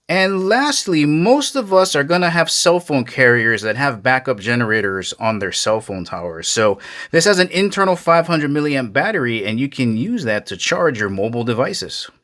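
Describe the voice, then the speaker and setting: A man's voice: medium pitch, lively intonation, normal speed, hardly any pauses, fairly bright tone. A man hosting a casual hands-on gadget review, talking plainly and directly to viewers in a folksy way. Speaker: product reviewer, video host.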